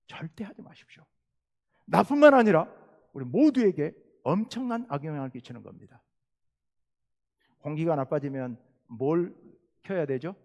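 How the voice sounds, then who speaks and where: Middle-aged man, Jeolla Korean accent, pastor preaching, high lively voice, long reverb of a church sanctuary. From South Jeolla, Korea. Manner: earnest preaching, rhetorical questions, rising emphasis. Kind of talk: sermon.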